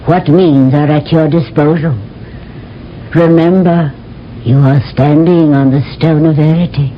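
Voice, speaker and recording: Slow, imperious alien queen. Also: fairly even intonation. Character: regal cool alien queen, woman. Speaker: queen Lura. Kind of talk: 1970s radio drama acting. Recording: dull old radio broadcast with faint rumble.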